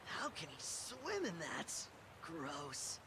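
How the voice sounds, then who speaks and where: An actor voicing a goofy, carefree teenage mutant turtle in an animated game, with a drawling surfer-dude manner. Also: male voice, fairly high pitch, slow pace, very noisy, exaggerated voice. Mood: playful. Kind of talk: animated video game acting.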